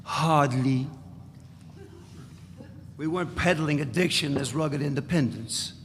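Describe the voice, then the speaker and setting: A man's voice: on the high side, slow. A man playing a brash, wry ex-radical who recounts wild exploits emphatically in a live dramatic reading of a novel. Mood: defiant, emphatic. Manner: emphatic storytelling, piling up lists. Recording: echoey hall, hissy live recording.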